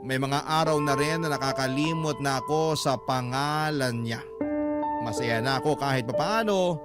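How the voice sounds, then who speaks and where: A man narrating a first-person love letter as a radio storyteller, in a dramatic scripted style. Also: fairly high pitch. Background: background music.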